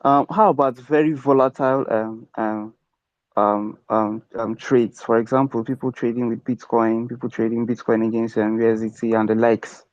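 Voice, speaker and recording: A man hosts an online Q&A in a middle-pitched voice that keeps breaking into "um" fillers. His audio is dry and dull, like a low-fidelity call. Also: fairly even intonation, moderate pace, dry recording. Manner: hesitant, many "um" fillers. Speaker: AMA host. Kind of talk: online crypto AMA questioning.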